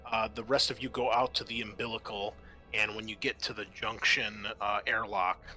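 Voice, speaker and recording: A man with a very bright, forward voice on the low side runs a tabletop game. He explains scenes and rules conversationally, often halting as he goes. Faint music plays behind him. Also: level tone. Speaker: game master. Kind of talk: running a tabletop roleplaying game.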